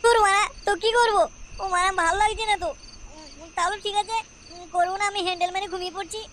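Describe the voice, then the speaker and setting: A woman's voice: very high-pitched, fast talker, a bit bright, a little hiss or hum. A woman voicing a crude, frustrated young Bengali son-in-law in a comedy cartoon, muttering and stammering. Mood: sulky.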